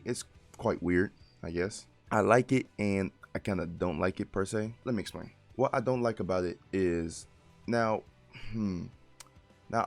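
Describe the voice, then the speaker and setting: Male reviewer, just out of bed: very low, gravelly, loose and rambling delivery. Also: a little mellow. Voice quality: gravelly morning voice. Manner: rambling, self-correcting, informal. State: just woke up, voice deeper than usual. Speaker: light novel reviewer. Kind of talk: casual spoken book review.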